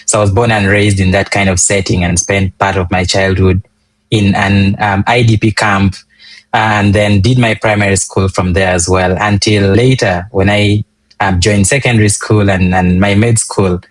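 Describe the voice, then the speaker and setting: A young man from Uganda with a low, grounded voice talks through his background as an interview guest. He speaks earnestly and in measured steps, often pausing on an um or restarting a phrase, and the sound is dry and close with no room around it. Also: steady tone, no echo. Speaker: guest, public health physician. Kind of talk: conversational interview answers.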